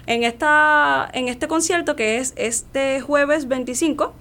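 A young Puerto Rican woman with a higher voice, the music student being interviewed on a local program, recounting things with animated emphasis. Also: takes it slowly. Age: young adult. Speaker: guest, classical soprano and voice student. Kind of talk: casual interview chat.

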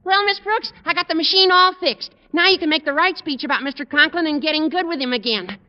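Squeaky, eager teenage schoolboy. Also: male voice, a little fast. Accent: American. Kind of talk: 1940s radio comedy acting. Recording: reverberant, muffled vintage radio recording.

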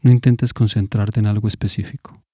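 A man leading a guided mindfulness meditation in a calm, measured, instructive manner. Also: fairly low pitch, slightly rough, no room sound, clean studio sound.

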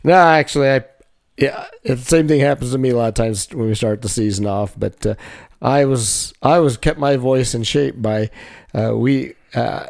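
Halting wrestling coach, lots of ums. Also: male voice, medium pitch, gravelly, darkish tone. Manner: halting, heavy on fillers and restarts. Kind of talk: casual chat.

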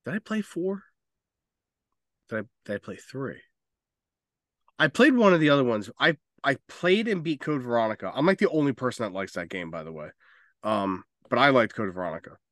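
A man with a mid-pitched, very animated voice chats as a podcast co-host. He sounds informal, opinionated and emphatic, and the recording is dry and free of room sound. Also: normal speed, pauses often, no echo. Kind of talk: casual opinionated film and game chat.

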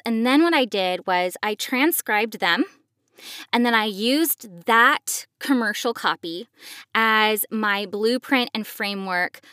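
A woman's voice: fairly high pitch, a bit bright, no room sound, clean studio sound. A woman hosting a podcast, explaining things to parents in a conversational way. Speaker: podcast host and voice actor.

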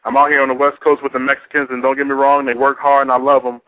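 A man's voice: medium pitch, little pitch movement, quick pace, no room sound. A man hosting a casual call-in talk show, speaking in loose slang and repeating himself for emphasis. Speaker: talk radio host. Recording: narrow, tinny phone-line radio sound.

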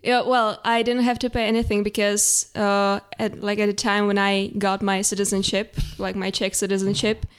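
Young woman from ukraine, guest on a chatty podcast, smooth clear voice, lots of "like". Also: medium pitch, flat delivery, normal speed, few pauses. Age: 20s. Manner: hesitant, many fillers, laughs often. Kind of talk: casual interview chat.

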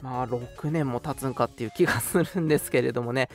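A man's voice: mid-range voice, fast, soft background music. A man hosting a radio show, giving announcements in a polite, chatty way. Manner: polite, chatty, frequent interjected particles. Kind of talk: casual scripted-segment radio announcements.

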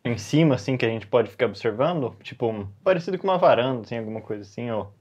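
A man's voice: mid-range voice, lively intonation, fast, highs cut off, a crowd in the background. A Brazilian man casually improvising as a player in a tabletop role-playing game. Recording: noisy room with clicks.

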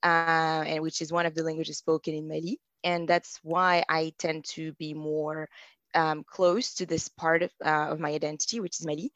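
A woman's voice: deep voice, little pitch movement, normal speed, fairly bright tone, no echo. Laughing young woman, chatty. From Germany. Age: 20s. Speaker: guest. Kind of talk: casual chat.

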